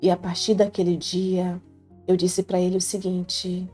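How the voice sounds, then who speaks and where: A woman's voice: lowish voice, completely flat delivery, a little slow, warm tone. A woman with a Brazilian Portuguese accent telling a life story in the first person, in an earnest, heartfelt, confiding tone. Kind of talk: first-person scripted narration. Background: faint background music.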